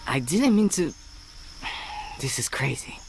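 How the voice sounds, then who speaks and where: A man's voice: medium pitch, sing-song, slow, vocal fry, slight background noise, exaggerated voice, poor audio. A man playing an earnest, weary young boy hero in a video game, with music and night insects behind him. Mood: uneasy. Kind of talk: video game character acting.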